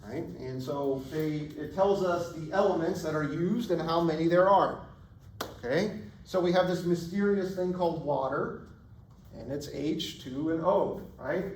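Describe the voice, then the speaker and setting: A man teaching a college chemistry class, casual and chatty, often checking in with "right?", his voice going hoarse. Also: fairly high pitch, unhurried. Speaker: chemistry instructor. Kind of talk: classroom lecture. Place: lecture hall. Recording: echoey lecture hall, distant mic, faint room noise.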